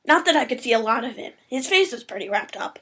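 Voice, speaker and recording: A woman's voice: a little high, lively intonation, fast talker, pushed and bright, heavily acted. A woman voicing a small, eager female baby dragon assistant in a cartoon-style audio story, gushing and informal. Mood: casual.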